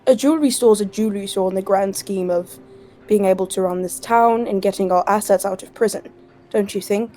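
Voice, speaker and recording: Female tabletop player, dark warm timbre, persuasive and logical. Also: medium pitch, brisk. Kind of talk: in-character tabletop role-play discussion.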